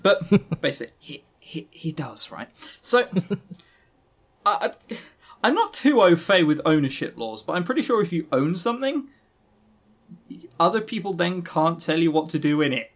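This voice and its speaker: A British man with a fairly high, very animated voice co-hosts a casual podcast about games. He talks in a sarcastic, teasing way, his pitch swinging up and down as he chats. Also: measured pace. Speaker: podcast co-host.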